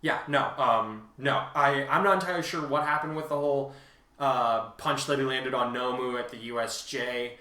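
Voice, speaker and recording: A man casually explaining as a co-host, halting but emphatic as he talks through his points. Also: medium pitch, pushed and bright. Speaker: co-host and fan fiction author responding to reviews. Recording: roomy, echoing sound.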